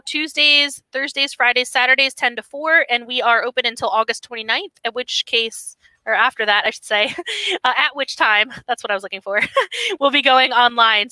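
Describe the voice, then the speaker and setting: Woman, bookseller host, bright, crisp dry sound, bubbly, casual. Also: fairly high pitch, dry recording, low-quality recording. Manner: chatty, bubbly. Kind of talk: casual livestream hosting. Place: cafe. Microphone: headset.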